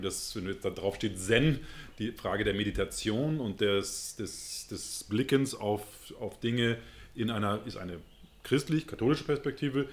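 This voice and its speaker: A man with a northern German accent, answering interview questions in an earnest, reflective way and searching for words as he goes. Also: lowish voice. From Hamburg, northern Germany. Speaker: interview guest.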